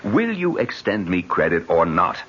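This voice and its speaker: A haughty, reckless French nobleman and gambler in old-time radio drama. The low voice is theatrical and swings widely in pitch, on a muffled, slightly noisy recording. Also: wildly swooping pitch, moderate pace, fairly bright tone, heavily acted. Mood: defiant. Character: proud, indignant French viscount, a reckless debt-ridden gambler.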